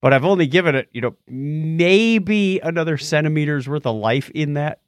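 A man with a slightly high voice chats as a podcast co-host. His intonation moves around a lot, informal and animated, as if acting out what he describes. The sound is dry and close. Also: normal speed, smooth, no echo. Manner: animated, informal, acts out examples. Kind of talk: casual chat.

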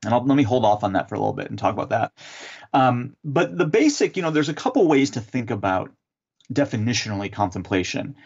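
A man answering interview questions as an author and theologian, explaining in a measured, teacherly way with some fillers. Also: medium pitch, very animated, vocal fry, husky, muffled recording.

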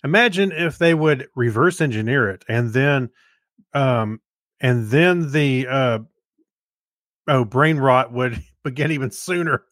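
A man co-hosting a podcast in casual chat, speaking in a halting, easygoing way. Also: mid-range voice, fairly bright tone, no echo, clean studio sound. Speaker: podcast co-host. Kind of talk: casual podcast chat, reading listener feedback.

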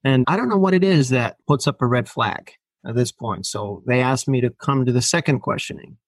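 A man's voice: medium pitch, brisk, no echo. A man from Finland, a native Finnish speaker, telling a long personal story on a podcast, acting out quoted lines and laughing often. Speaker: podcast guest. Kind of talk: casual storytelling interview.

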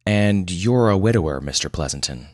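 Casting: male narrator as weary physician, low voice, dry and patient, skeptical, audiobook dialogue. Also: animated, normal speed, a little mellow, no echo. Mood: measured, patient.

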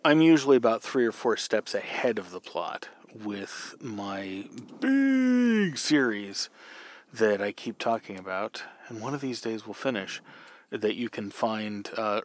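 A man hosting a podcast, casually explaining his working methods as a novelist in answer to a question. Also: lowish voice. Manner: explanatory, conversational. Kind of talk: casual advice talk answering a listener question.

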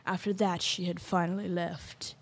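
A woman's voice: on the low side, flat delivery, moderate pace, slight background noise. A female narrator reading a scripted story in an amateur youth radio play.

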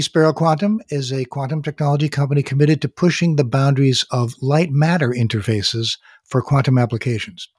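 Male podcast host reading a bio, smooth, dry close sound. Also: medium pitch, dry recording. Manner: polished, reads guest bio. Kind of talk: scripted introduction and interview questions.

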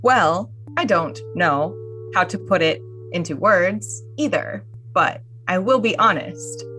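A woman's voice: fairly low pitch, lively intonation, slow pace, fairly bright tone, soft background music. A woman playing a plain-spoken, wary young woman in a dramatic reading of text messages. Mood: wary, puzzled. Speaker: podcast cast reader.

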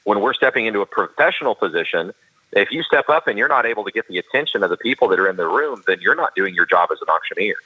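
A man with a carrying baritone voice, a professional auctioneer giving earnest, detailed interview answers with frequent restarts. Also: lively intonation, fast, breathy edge, muffled recording. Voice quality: baritone voice that carries. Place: studio.

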